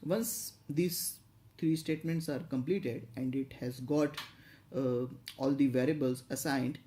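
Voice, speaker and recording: Tutorial instructor, mellow tone, medium pitch, step-by-step explanation, hesitant and repetitive, faint background noise. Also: fairly even intonation, a little slow.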